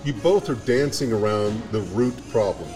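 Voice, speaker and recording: A man's voice: on the low side, expressive, moderate pace, music in the background. A man hosting a casual radio talk show, blunt and emphatic, often repeating himself.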